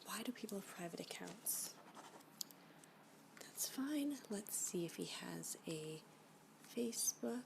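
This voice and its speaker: A woman playing a flirty, obsessive stalker in an ASMR roleplay, breathy and often whispering, with a coy, smitten manner. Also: mid-range voice, animated, darkish tone, slight background noise. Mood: scheming, hushed. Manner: coy, slow, unhurried, with giggly asides.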